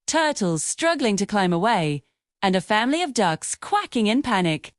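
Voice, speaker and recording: A woman narrating a scripted children's bedtime story in an expressive, dramatic storytelling manner. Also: mid-range voice, moderate pace, no echo, clean studio sound.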